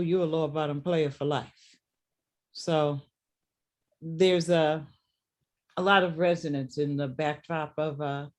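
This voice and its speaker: Middle-aged theater director hosting a talk, slow and thoughtful, repeats words as they go. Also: mid-range voice, a little mellow. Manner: reflective, halting with word repetitions. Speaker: theater director and discussion host. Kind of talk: hosting a post-show talk.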